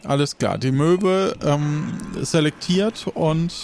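A man improvising the narration of an adventure game as game master, halting as he searches for words. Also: a little high, gravelly, darkish tone, slight background noise.

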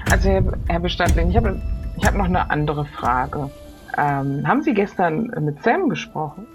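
A woman's voice: on the low side, expressive, moderate pace, close to an everyday voice. A woman playing a polite, inquisitive crew member with a hesitant manner in an improvised role-play comedy. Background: faint music.

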